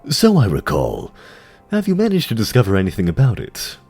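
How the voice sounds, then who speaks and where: A man playing a grave giant spider lord commander in a fantasy audio drama, speaking in a slow, measured, brooding way. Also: fairly low pitch, huge pitch swings, creaky, a little mellow, breathy edge, faint music behind, heavily acted.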